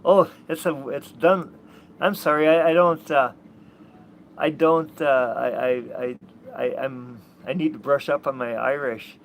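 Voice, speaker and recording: Brief: halting, blunt Upper Midwest American man vlogging outdoors on a boat, slightly higher voice, light background noise. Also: fairly even intonation. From Minnesota, USA. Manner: halting, many restarts and fillers, blunt. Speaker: sailing vlogger. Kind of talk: rambling casual vlog chat. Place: outdoors on a sailboat.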